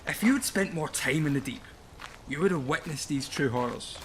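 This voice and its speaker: A man's voice: fairly high pitch, expressive, normal speed, slight background noise. A man playing a grim, stubborn old miner in a radio play, solemn and portentous, almost chanting his words. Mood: insistent.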